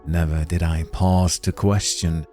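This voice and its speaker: A man voicing a knowing, mysterious stranger with a measured, formal manner in a story. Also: sits low, steady tone, mellow, faint music behind.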